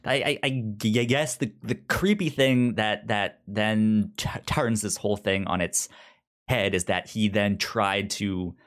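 A man co-hosting a podcast in casual discussion, halting and often stammering over repeated words. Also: fairly low pitch. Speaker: podcast co-host. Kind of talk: casual comic book discussion.

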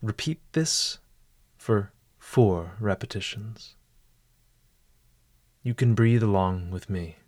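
Man, meditation guide, slow pace, formal deliberate wording, scripted narration, dry close sound. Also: lowish voice, a little mellow, no echo. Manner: formal, ornate, deliberate phrasing. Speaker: meditation guide and podcast host.

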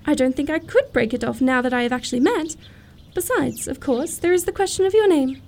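A woman's voice: sits high, fairly quick, darkish tone. A woman playing a romantic, self-assured young upper-class lady in a period comedy radio play, precise and sweetly matter-of-fact. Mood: dreamy, fond.

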